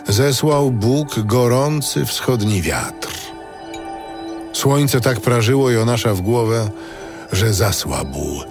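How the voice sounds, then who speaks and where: A man playing a solemn narrator, reading a scripted audio Bible in a measured way. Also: on the low side, exaggerated voice. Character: solemn male biblical narrator.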